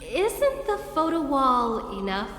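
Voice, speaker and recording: Teasing young game girl, slow n swoopy delivery, echoey, music behind. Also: sits high, exaggerated voice. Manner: playful, lively. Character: cheeky, teasing young female adventurer. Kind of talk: English video game voice acting.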